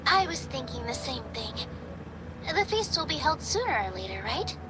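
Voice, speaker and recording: Young female dancer, anime game: high, considerate, gentle and earnest. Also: sing-song, moderate pace, very noisy. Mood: matter-of-fact, friendly. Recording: music behind the voice.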